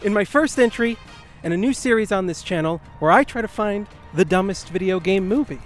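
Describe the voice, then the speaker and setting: High-voiced man narrating a comedic review. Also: expressive, a little fast, loud music behind the voice. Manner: sarcastic, self-deprecating joking delivery. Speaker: host of a video game review channel.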